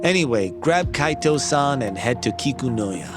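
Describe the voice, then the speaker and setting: An actor playing a sly, knowing journalist informant in a video game, sardonic under surface politeness. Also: male voice, medium pitch, expressive, normal speed, close to an everyday voice. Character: sly, smooth-talking male journalist informant, casually knowing. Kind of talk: video game cutscene dialogue. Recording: music behind the voice.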